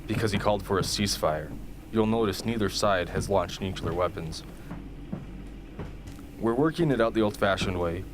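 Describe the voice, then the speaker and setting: Radio play officer, male actor: low voice, matter-of-fact, clearly noisy background. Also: moderate pace, long pauses, natural voice. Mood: serious, defensive. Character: measured, pragmatic male military officer. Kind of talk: amateur radio drama acting. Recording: noisy hobby recording.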